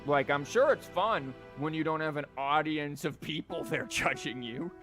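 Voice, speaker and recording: A man's voice: fairly high pitch, moderate pace. Rambling male game streamer over music. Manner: rambling, joking asides. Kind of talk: casual live game commentary, reading dialogue aloud. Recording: music behind the voice.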